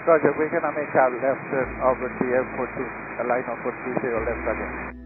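Quick-talking male pilot on muffled radio. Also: mid-range voice. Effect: aviation radio. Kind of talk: clipped radio readbacks. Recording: muffled cockpit radio transmission, music behind. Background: background music.

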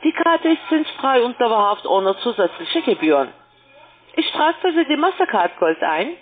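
A woman working as a call-centre telemarketer, delivering a scripted sales pitch over the phone. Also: big pitch swings, moderate pace. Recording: muffled, band-limited phone line.

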